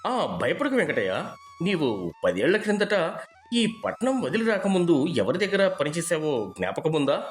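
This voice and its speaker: A man voicing a wealthy visitor from town in a story, calm, reassuring and grave. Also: a little high, normal speed, faint music behind.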